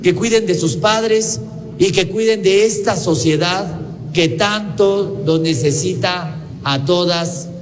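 A man's voice: sits high, slow pace. A man speaking as a university official, posing a rhetorical question and addressing an audience earnestly. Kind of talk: short public address. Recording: reverberant hall with faint noise.

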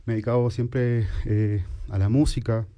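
A man's voice: medium pitch, fairly even intonation, normal speed, muffled recording. A Chilean man with a Chilean accent, a theatre company's musician, speaking politely on the radio and searching for words. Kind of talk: radio interview.

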